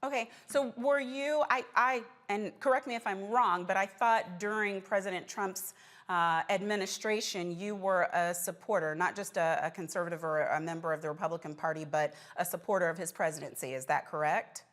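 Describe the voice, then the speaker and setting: A woman's voice: lowish voice, steady tone, normal speed, a bit bright. Direct female news show host. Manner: direct, pressing questioner. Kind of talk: scripted news reading and political interview.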